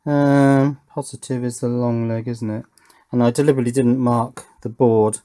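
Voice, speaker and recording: A man's voice: mid-range voice, little pitch movement, moderate pace, warm tone. A man giving casual, understated hands-on commentary as he works on a hobby electronics build, often thinking aloud and hesitating. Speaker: hobby electronics video maker.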